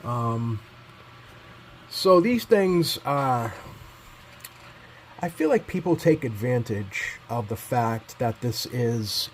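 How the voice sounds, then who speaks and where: A man giving casual, unscripted commentary as a repair technician, pausing often with many ums as he goes. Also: mid-range voice, darkish tone, a little hiss or hum. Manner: halting, hesitant delivery heavy with ums and pauses. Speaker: repair technician presenting his bench work.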